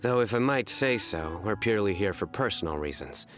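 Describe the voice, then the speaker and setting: A man voicing a stern young general with a deadpan, formal, measured manner in a video game. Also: fairly low pitch, music in the background, lightly acted. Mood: formal, solemn. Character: stern, deadpan young male general who tells puns.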